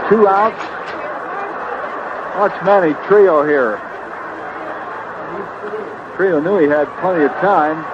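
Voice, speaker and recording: A man's voice: high-pitched, slow pace, long pauses, highs cut off. An American man calling baseball play-by-play live on a vintage radio broadcast. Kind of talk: live radio sports commentary. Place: outdoor ballpark broadcast booth. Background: loud noise and outdoor ambience.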